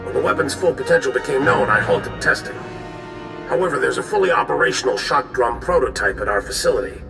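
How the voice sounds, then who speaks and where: Gravelly, commanding general. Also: male voice, medium pitch, expressive, fast. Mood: stern. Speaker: military commander giving mission briefing. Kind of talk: video game cutscene acting. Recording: roomy echo, loud music behind.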